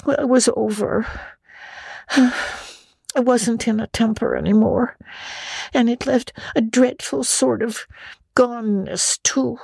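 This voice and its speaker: Storybook young girl: high, husky-edged, earnest, imaginative, stumbling over her words. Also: darkish tone, dry recording, exaggerated voice. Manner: halting, repeats words for emphasis.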